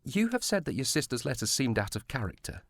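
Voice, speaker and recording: A man's voice: medium pitch, very animated, fairly quick, creaky, a bit bright, dry recording, heavily acted. A man playing a courteous, cautious provincial police sergeant with formal speech in a period audio drama. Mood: tactful, cautious.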